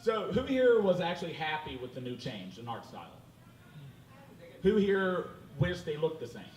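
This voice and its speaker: Guy hosting a con panel, kinda high voice that jumps all over, teasing and laughing, big echoey hall. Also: normal speed. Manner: lively, teasing, laughs often. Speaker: convention panel host. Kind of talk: casual joking panel talk. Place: large convention hall with audience. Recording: echoey hall, far off, crowd noise.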